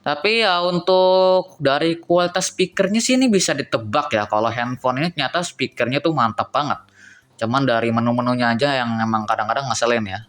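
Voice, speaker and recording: A man's voice: mid-range voice, brisk, fairly bright tone. A young man, casually reviewing a cheap phone on camera, thinking aloud and talking to viewers as guys.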